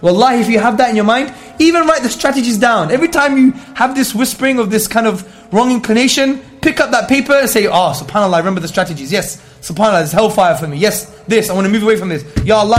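A man with a high-pitched voice delivers an Islamic lecture to listeners. His delivery is emphatic and rhetorical, and he drops Arabic devotional phrases into his talk. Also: animated, fast, few pauses, faint music behind. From Greek heritage. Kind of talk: religious talk to an audience, with questions.

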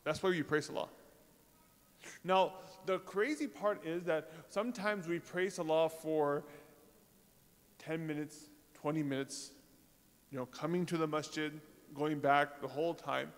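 A man giving an informal sermon to a congregation, talking in a conversational way with pauses, fillers and rhetorical questions. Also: fairly high pitch. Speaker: religious speaker. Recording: reverberant hall.

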